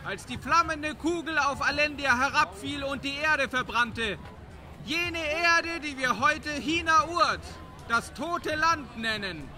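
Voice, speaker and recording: A man's voice: extremely high pitch, pushed and bright, distant mic, pitched above the performer's own voice, heavily acted. A man voicing a pompous herald in an audiobook, proclaiming and rousing a crowd with fearful warnings. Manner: declamatory, projecting, oratorical.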